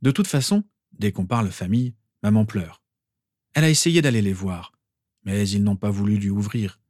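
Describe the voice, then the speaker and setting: A man's voice: on the low side, moderate pace, darkish tone, no echo, clean studio sound, lightly acted. A man voicing a casual, unbothered teenage son in an audiobook, offhand and chatty. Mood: reassuring.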